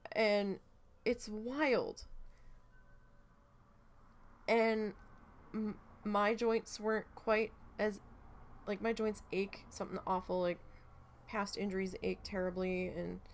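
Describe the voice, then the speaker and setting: Gravelly-voiced woman chatting casually. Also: mid-range voice, takes it slowly, long pauses. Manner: rambling, full of fillers, swears freely. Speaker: craft vlogger. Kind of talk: casual chat while needle felting.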